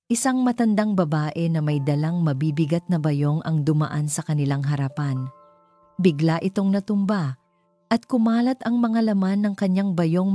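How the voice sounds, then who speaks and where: A woman's voice: deep voice, warm tone. A woman with a Filipino accent reading a scripted romance story as its narrator.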